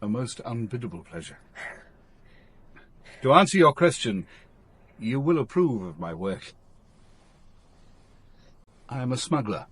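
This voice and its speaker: An actor playing a worldly, courtly middle-aged smuggler and fond lover in a radio drama. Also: male voice, medium pitch, a little slow, long pauses, slightly rough, no room sound, full character voice. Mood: confiding. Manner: courtly, expressive.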